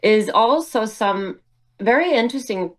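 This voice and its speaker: A Swedish woman, a musical theater performer, speaking English as a second language in a relaxed interview, thoughtful and full of fillers. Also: fairly high pitch, unhurried. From Sweden. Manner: reflective, hesitant with many fillers. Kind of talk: casual interview conversation.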